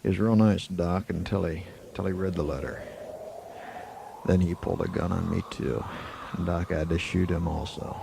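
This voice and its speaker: Casting: male actor as weary Western radio-drama marshal, very low and heavily creaky, slow, laconic delivery. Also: steady tone. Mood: grave, weary. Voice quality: gravelly, creaky. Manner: slow, halting, deliberate delivery. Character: laconic, weary Old West frontier marshal. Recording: noisy, hissy 1980s amateur tape.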